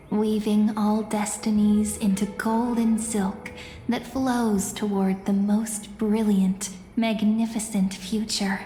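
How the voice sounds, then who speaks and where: An actress playing a gentle, serene young oracle card reader in a video game, poetic and deliberate. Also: female voice, mid-range voice, close to an everyday voice. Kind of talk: scripted game voice acting. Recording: echoing hall reverb, faint music.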